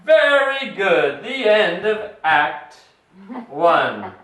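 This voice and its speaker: A playful announcer hosts a children's puppet show with plenty of exclamations and coaxing. The delivery is slow and swoops up and down. The recording is distant and echoey. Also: mid-range voice, very animated, fairly bright tone. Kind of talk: playful announcing to a small family audience. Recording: distant echoey home recording, faint noise.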